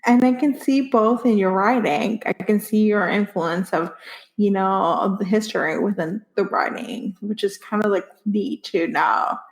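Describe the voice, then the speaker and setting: A woman's voice: mid-range voice, moderate pace, rough recording. A woman hosting a book podcast, with English as her second language, encouraging her guests with frequent mm-hmms. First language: not English. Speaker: podcast host. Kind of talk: casual interview chat.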